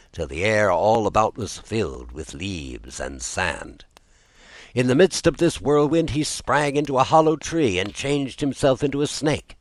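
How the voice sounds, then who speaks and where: A man reading a fairy tale aloud as a storyteller, with an expressive scripted delivery. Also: fairly low pitch, dry recording. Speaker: storyteller, audiobook narrator.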